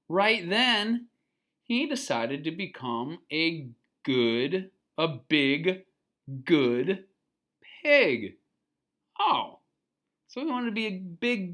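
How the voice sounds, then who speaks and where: A storybook reader giving an expressive children's read-aloud, pausing to explain and ask questions. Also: medium pitch.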